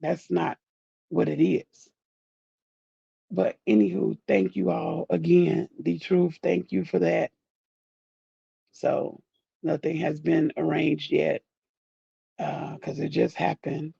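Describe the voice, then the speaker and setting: A grieving man with a groggy, deep voice, talking in a rambling, heartfelt way as he thanks his viewers in a casual chat. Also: flat delivery, takes it slowly, creaky, pauses often, breathy edge, no echo, muffled recording. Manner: soft-spoken, rambling, heartfelt. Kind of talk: casual direct-to-camera chat.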